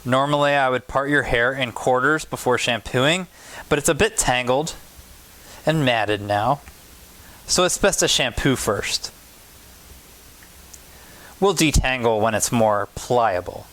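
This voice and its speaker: A man's voice: mid-range voice, measured pace, pauses often, fairly bright tone, close to an everyday voice. A man voicing a kind, practical neighbour woman who patiently instructs a child in a picture book. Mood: patient, instructive. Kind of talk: picture book read-aloud.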